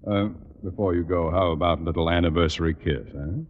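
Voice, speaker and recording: An American actor playing a pompous, blustery middle-aged school principal in old-time radio comedy, speaking formally and deliberately. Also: male voice, sits low, fairly even intonation, long reverb tail. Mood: contented. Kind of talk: 1940s-50s radio comedy acting. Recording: muffled, noisy old-time radio recording.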